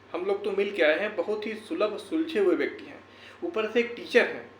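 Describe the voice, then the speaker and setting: A man speaking to viewers in an earnest, exhortative way, repeating phrases for emphasis in a motivational talk. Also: high voice. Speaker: YouTuber and educator. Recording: echoey room, faint background noise.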